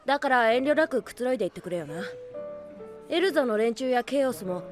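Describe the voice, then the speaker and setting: A woman acting in an audio drama as a brash, cheeky boy with rough, casual speech and quick retorts. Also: a little high, expressive, natural voice. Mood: breezy, teasing. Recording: soft music bed behind.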